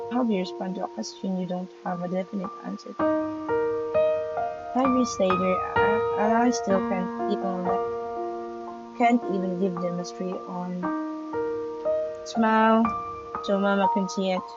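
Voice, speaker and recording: A woman's voice: medium pitch, fairly even intonation, moderate pace, pauses often, lo-fi sound. A woman gamer reading visual novel narration aloud, stumbling now and then and adding asides. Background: loud piano music from the game.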